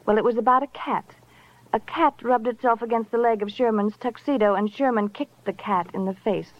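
A woman's voice: fairly high pitch, a little fast, no room sound, lightly acted. A woman playing a flirtatious, sardonic, worldly fiancée in a 1950s radio crime drama, languid and knowing. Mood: coy, sardonic. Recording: dull vintage radio recording.

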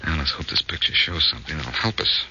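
An actor playing a confident, friendly amateur sleuth in a 1940s radio detective drama. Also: male voice, very deep voice, animated, quick pace, sharp tone, heavily acted. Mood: focused, upbeat. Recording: muffled, faintly noisy old radio recording.

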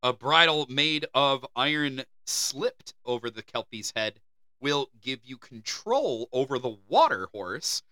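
Bright, lively American podcast host. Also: male voice, medium pitch, a little slow, no echo. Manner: reads folklore aloud, then riffs with swearing and laughs. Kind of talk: casual comedy podcast chat.

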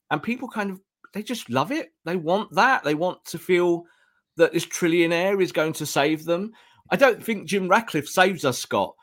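A man co-hosting a football podcast, talking earnestly with frequent restarts while losing his voice. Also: a little high. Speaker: podcast co-host. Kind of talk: casual football fan discussion.

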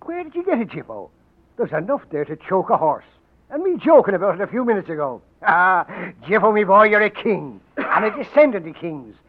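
An actor playing a wheedling, boastful little Irish drinking crony in a theatrical 1940s radio style. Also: male voice, sits high, fairly quick. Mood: fawning, grandiose. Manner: blustering, flattering, theatrical. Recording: muffled, noisy 1940s radio broadcast.

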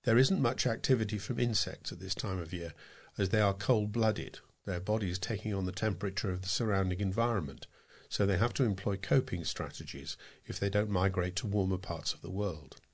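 Male, British accent, lowish pitch, volunteer reader of scripted local news and narration. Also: brisk. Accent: British English. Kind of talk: scripted narration, reading aloud.

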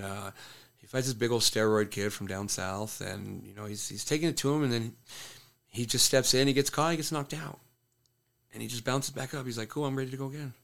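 A man's voice: on the low side, vocal fry, fairly bright tone. A man who coaches fighters, telling a fight story bluntly in casual conversation, swearing freely. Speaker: MMA coach and fighter, podcast guest.

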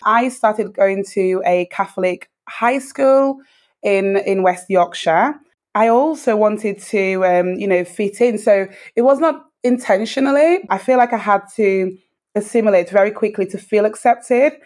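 A woman's voice: medium pitch, darkish tone. Earnest British-accented woman in her thirties. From Dominica. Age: 30s. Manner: earnest, thoughtful, frequent um pauses. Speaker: interview guest. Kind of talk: reflective personal storytelling.

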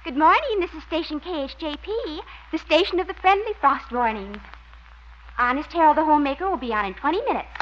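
A woman's voice: sits high, animated, fairly quick. An American woman acting a perky, scatterbrained radio station receptionist in a 1950s sitcom, chirpy and naive. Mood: announcing, wry. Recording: muffled, very noisy old radio recording.

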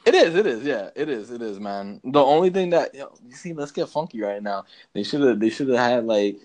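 A man's voice: medium pitch, expressive, fairly quick, darkish tone. A man chatting casually as a podcast co-host, slangy and ranting about movies, often addressing his partner as bro.

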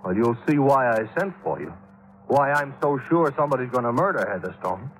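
An actor playing a worried insurance executive in a 1950s radio drama. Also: male voice, medium pitch, big pitch swings, a little fast, natural voice, rough recording. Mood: uneasy.

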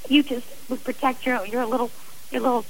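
Middle-aged woman, slightly high pitch, earnest, emphatic, conversational interview guest, faint noise. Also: steady tone, fairly quick, low-quality recording. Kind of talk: personal account in a radio interview.

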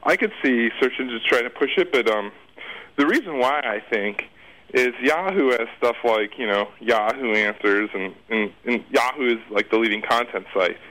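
A man's voice: medium pitch, normal speed, sharp tone, poor audio. A man speaking as an expert interview guest, explaining things informally in a conversational, circling way. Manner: informal, circling explanations with repeated "you know".

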